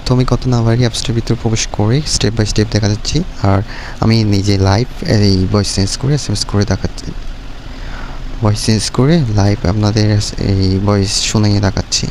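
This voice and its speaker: A Bangladeshi man giving a casual app tutorial, explaining step by step in a repetitive, halting way. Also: on the low side. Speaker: tech tutorial YouTuber. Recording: noisy, steady vehicle-like background hum. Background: clear background noise.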